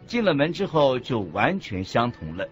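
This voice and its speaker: A man reading scripted religious teaching as a narrator, in a deliberate, instructive manner. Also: mid-range voice, very animated. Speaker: narrator of a Buddhist teaching radio program. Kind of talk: scripted didactic narration in a radio program. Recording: muffled lo-fi audio with music underneath. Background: background music.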